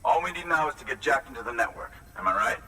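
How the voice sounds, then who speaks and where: An actor playing an eager, star-struck American outpost worker in a video game, gushing and informal. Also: male voice, mid-range voice, lively intonation, quick pace, far from the mic, heavy background noise, close to an everyday voice. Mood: excited, grateful. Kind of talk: video game cutscene acting.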